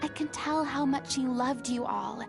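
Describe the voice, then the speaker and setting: Game role: compassionate, authoritative young woman, high register, theatrical and earnest, clear music bed behind. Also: a little slow. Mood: earnest consoling. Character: commanding, compassionate young woman scolding and consoling a troupe. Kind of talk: dramatic video game voice acting.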